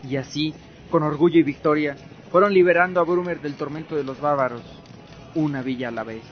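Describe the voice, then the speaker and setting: A man's voice: on the high side, fairly quick. Solemn male narrator over music. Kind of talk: scripted narration of a fantasy radio drama. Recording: dull lo-fi audio with music behind.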